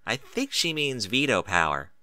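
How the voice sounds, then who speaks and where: A man's voice: medium pitch, sing-song, moderate pace, pushed and bright, no room sound. A man voicing a studious female pony, helpful and a wry know-it-all, speaking in a precise, bookish way.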